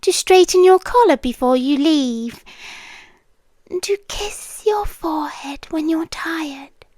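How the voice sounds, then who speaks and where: A woman playing a possessive, devoted yandere girlfriend with a British accent, speaking softly and deliberately in an intimate ASMR roleplay, often slipping into whispers. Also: high-pitched, no echo. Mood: tender, devoted. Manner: slow, deliberate, lingering pauses. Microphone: close microphone, dry sound.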